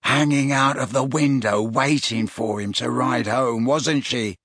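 A vicious, deranged young man, hissing his taunts slowly and with gloating menace. The voice is gravelly and sharp, recorded close and dry. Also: mid-range voice, animated, dry recording, heavily acted. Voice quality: rasping, hissing whisper.

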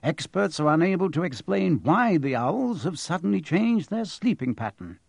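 A man's voice: fairly high pitch, sing-song, moderate pace, gravelly, breathy edge, no room sound, pitched above the performer's own voice, exaggerated voice. A man voicing a polished, jaunty male television newsreader with emphatic announcing in an audiobook. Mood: brisk, formal.

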